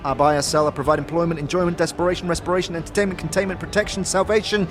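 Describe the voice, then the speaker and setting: A man voicing an excitable innkeeper and showy salesman with rapid-fire, rhyming patter in a fantasy audio game. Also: on the high side, pitched above the performer's own voice, lightly acted. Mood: excited, showy. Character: manic, fast-talking male innkeeper and showy salesman. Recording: music under the voice.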